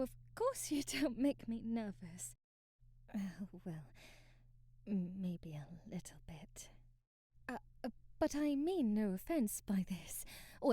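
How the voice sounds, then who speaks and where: Woman playing a timid, soft-spoken young maid, slow and hesitant. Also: medium pitch, dry recording, clean studio sound, lightly acted. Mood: shy, nervous. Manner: hesitant, stammering, formal and deferential, breathy nervous laughs. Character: timid, devoted young maid, formal and easily flustered. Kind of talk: ASMR roleplay, period-drama speech.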